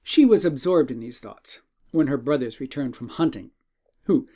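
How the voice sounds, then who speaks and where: Male audiobook narrator for classic tales, relaxed warm tone, gravelly creak, scripted storybook reading. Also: medium pitch, normal speed.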